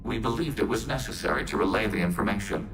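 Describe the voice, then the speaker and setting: A man playing a calm, literal-minded machine android in a video game, speaking formally and without emotion through a robotic filter. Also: on the low side, fast talker, music in the background, low-quality recording. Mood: flat, formal. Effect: synthetic robot filter. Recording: clear background noise and ambience.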